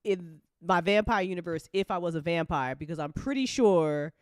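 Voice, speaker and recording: A woman hosting a casual chat about writing, talking in an animated, playful way with plenty of swearing. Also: fairly low pitch, moderate pace, dry recording, clean studio sound. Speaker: host of a fantasy audio drama chat show, writer.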